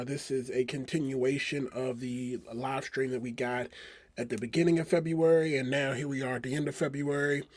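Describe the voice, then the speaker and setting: Hoarse man reviewing toys. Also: medium pitch, darkish tone. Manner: rambling, many fillers and restarts. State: hoarse from a cold. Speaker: toy collector vlogger. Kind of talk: casual video review.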